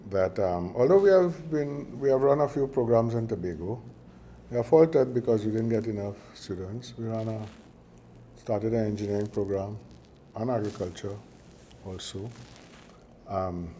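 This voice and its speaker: A man's voice: on the low side, normal speed, warm tone, a little hiss or hum. An older man with a Trinidadian accent giving a ceremonial speech, chatty and joking, wandering off into anecdotes with plenty of fillers and restarts. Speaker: university chairman at signing ceremony.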